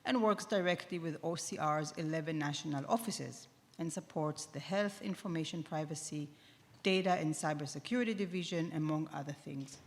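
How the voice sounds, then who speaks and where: A woman moderating a summit, reading a speaker's introduction in a formal, measured way. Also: sits low. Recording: long hall reverb.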